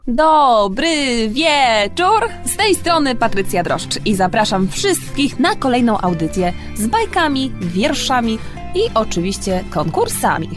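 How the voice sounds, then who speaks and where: A woman hosting a children's bedtime radio show, presenting and telling stories in an expressive way for young listeners. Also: on the high side. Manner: expressive, drawn-out delivery for children. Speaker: radio host and storyteller. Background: music.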